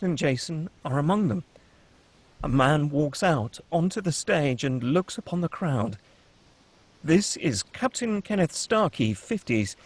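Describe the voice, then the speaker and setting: A man narrating the stage directions at a script table read, steady and descriptive. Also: on the high side.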